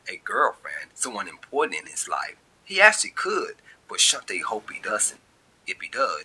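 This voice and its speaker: A man reading a short story as its narrator, in an expressive, colloquial storytelling style. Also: fairly low pitch, a little hiss or hum, poor audio. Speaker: author and narrator.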